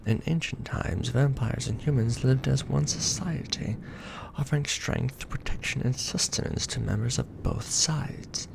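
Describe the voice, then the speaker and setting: A man voicing a weary, tender aristocratic vampire lord in an ASMR romance roleplay, murmuring softly and formally, close to the microphone. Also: medium pitch, measured pace, few pauses, noisy background. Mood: weary, idealistic. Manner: soft, breathy murmuring, formal archaic phrasing. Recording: background music bed.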